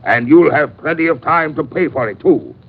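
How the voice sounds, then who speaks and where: An actor playing a curt, commanding ship captain in a 1950s radio drama. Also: male voice, a little high, lively intonation, moderate pace. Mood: stern. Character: stern middle-aged male ship captain. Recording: raspy narrow-band old radio recording.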